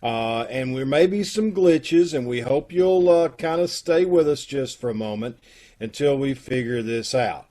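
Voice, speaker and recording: Guy hosting a zoom chat from his house, rambly, lots of uhs, middle pitch. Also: lively intonation, unhurried, mellow. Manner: folksy and rambling, with many fillers. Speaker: host of a community theater troupe. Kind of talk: casual live video-call chat. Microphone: video call from home.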